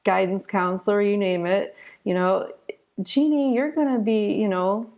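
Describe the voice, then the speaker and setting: American woman in her forties, creaky voice. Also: medium pitch, a little slow, darkish tone. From southern Ohio, United States. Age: 40s. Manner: informal, candid, often adds "you know". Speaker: interview guest, retired physician turned author. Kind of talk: conversational personal storytelling.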